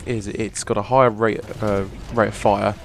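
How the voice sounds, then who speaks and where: A hoarse young man, rambling casually through a video game weapon review. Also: fairly low pitch, little pitch movement, normal speed, a little hiss or hum. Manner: rambling, restarts a lot, casual swearing. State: hoarse from a cough. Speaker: gaming channel host. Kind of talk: casual gameplay commentary.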